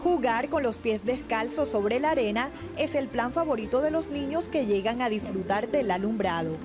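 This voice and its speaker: Female feature reporter, fairly high voice, scripted narration over clear music. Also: lively intonation, moderate pace. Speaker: news reporter.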